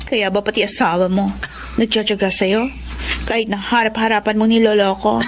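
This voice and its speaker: A woman's voice: mid-range voice, level tone, normal speed, slight background noise, lightly acted. A woman playing a carefree, admiring mistress in a radio drama, flirtatious and teasing. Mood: flirty.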